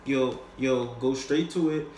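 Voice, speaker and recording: A man's voice: mid-range voice, slow pace, darkish tone. A man coaching on sales in a casual, unscripted, conversational way, using slang and often checking in with the listener. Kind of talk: casual, unscripted instructional talk. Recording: echoey room with faint noise.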